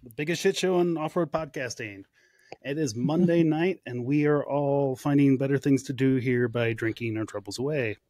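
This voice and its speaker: A man's voice: mid-range voice, normal speed, a little mellow, no echo. A man hosting a podcast in a casual, joking way, with wry teasing and mild swearing. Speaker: podcast host. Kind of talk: casual joking group chat.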